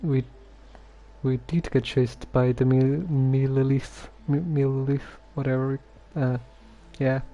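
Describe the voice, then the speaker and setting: A man's voice: medium pitch, flat delivery, dark tone, a little hiss or hum, rough recording. A man giving casual, hesitant live commentary as he plays a game on stream. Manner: hesitant, halting.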